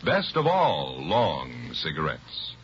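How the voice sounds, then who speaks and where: A man's voice: a bass voice, wildly swooping pitch, slow pace. A man doing scripted 1950s radio announcing in a declamatory, emphatic way. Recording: muffled vintage radio recording. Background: music.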